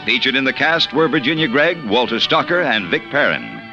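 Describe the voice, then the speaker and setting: A man's voice: mid-range voice, big pitch swings, fairly quick. A man announcing the closing credits of a 1950s radio show in a formal, polished style. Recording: dull vintage radio recording. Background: loud brassy theme music.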